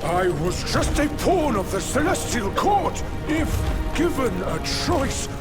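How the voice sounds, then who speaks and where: An actor playing a scheming male bear demon in a game cutscene, booming and theatrical, swinging from boastful to groveling. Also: very animated, measured pace, over loud music, full character voice. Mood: pleading. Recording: loud game score behind.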